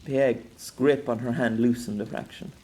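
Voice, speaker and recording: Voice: mid-range voice, fairly even intonation, a little slow, a little mellow, a little hiss or hum. An author narrating their own novella as an audiobook, telling the story expressively.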